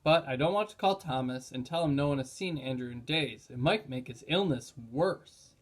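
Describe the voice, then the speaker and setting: A man's voice: medium pitch, moderate pace, close to an everyday voice. A man voicing a kindly, concerned old grandfather in a children's storybook. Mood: worried.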